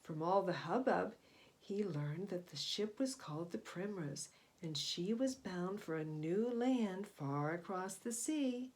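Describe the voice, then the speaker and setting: A woman storyteller addressing young children, introducing and reading a picture book in an expressive, explanatory way. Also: fairly low pitch, unhurried. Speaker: children's read-aloud storyteller.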